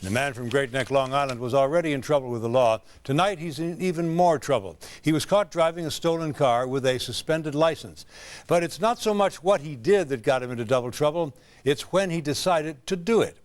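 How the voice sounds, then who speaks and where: A man's voice: fairly high pitch, brisk. A man introducing stories as a television news anchor, reading a script. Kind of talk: scripted studio newsreading.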